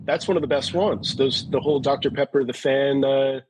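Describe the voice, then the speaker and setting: A man's voice: mid-range voice, steady tone, fairly quick, darkish tone, husky, low-quality recording. A man chatting casually as a co-host. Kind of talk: casual chat.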